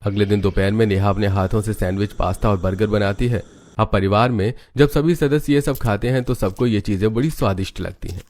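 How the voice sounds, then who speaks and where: A man's voice: lowish voice, fairly quick, darkish tone. A man narrating a scripted story as its storyteller.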